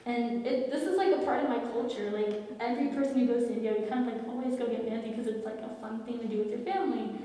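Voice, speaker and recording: A teenage girl from Tennessee speaking earnestly on a student panel, halting now and then with fillers. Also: a little high, dark tone. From Tennessee, USA. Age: teens. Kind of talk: personal testimony on a panel. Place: large school hall. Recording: echoey hall, far from the mic.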